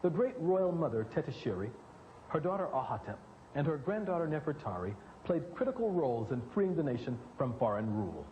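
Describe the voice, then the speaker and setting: A man's voice: on the high side, very animated, brisk, mellow, slight background noise, rough recording. A man presenting scripted history narration formally, with dramatic emphasis.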